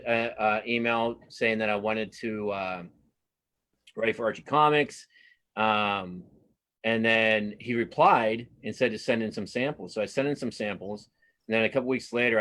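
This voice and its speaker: A man's voice: on the low side, fairly bright tone. A middle-aged man chatting casually as an interview guest, enthusiastic and often repeating words for emphasis. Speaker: guest cartoonist and comics writer.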